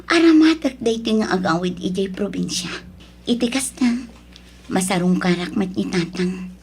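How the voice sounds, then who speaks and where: A woman's voice: mid-range voice, animated, normal speed, a little mellow, breathy edge. An actress playing an emotional young provincial woman in a melodramatic radio drama, with an Ilocano accent. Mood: hushed, scheming.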